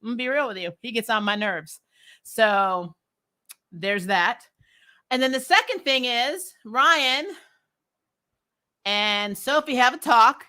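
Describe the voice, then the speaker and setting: Woman doing a casual recap vlog, really bright crisp edge to her voice, sarcastic n slangy, kinda fed up. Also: fairly high pitch, lively intonation, takes it slowly, long pauses. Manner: sarcastic, exasperated, slangy with swearing; acts out characters' lines mockingly. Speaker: TV recap reviewer and vlogger. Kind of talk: casual opinionated commentary.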